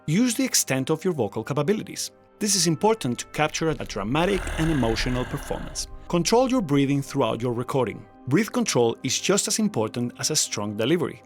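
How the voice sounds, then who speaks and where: A man narrates a scripted tutorial in a slightly high voice with very varied intonation. His delivery is articulate and instructional, with light music behind him. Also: very animated, a little fast, few pauses.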